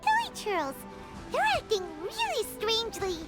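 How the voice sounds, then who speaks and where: A woman voicing a tiny, childlike, excitable and timid fairy companion in a video game, with a cutesy manner. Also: measured pace, over loud music, heavily acted. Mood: excitable, startled. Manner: cutesy, squeaky, speaks of herself by name. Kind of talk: scripted video game dialogue.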